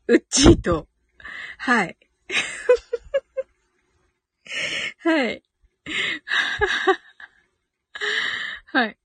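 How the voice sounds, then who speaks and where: A woman's voice: a little high, a bit bright, no echo. A woman hosting a casual live audio stream, giggly and thinking aloud as she reacts to listener comments.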